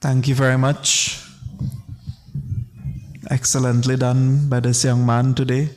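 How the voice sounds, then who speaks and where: A man's voice: mid-range voice, level tone, unhurried, darkish tone, soft background music. A man from Trinidad with a Trinidadian accent, courteously introducing speakers and tributes as emcee of a memorial service.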